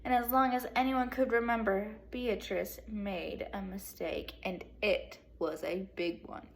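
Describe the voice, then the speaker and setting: A woman teacher reading a storybook aloud to children in an animated way, pausing to put questions to her young listeners. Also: mid-range voice, gravelly, slight background noise. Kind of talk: expressive children's read-aloud, speaking to young pupils.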